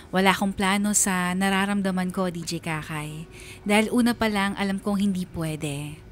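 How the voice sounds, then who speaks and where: Radio drama lead, female actor: lovelorn young woman, mid-pitched, earnest confessional narration over music. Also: close to an everyday voice. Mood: confessional, yearning. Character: lovelorn young woman secretly in love with best friend's girlfriend.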